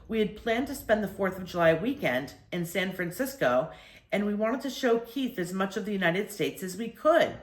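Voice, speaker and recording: An anxious storybook mother, as acted by a woman reader, critical and quick to protest. Her pitch moves in lively swings, and the room rings a little around her. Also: echoey room, lightly acted. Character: anxious, complaining mother on a road trip.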